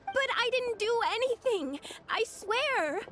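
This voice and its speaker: A woman's voice: very high-pitched, animated, slow pace, over music, heavily acted. A woman playing a bewildered, frightened young woman in a video game, faltering and pleading. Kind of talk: dramatic video game voice acting.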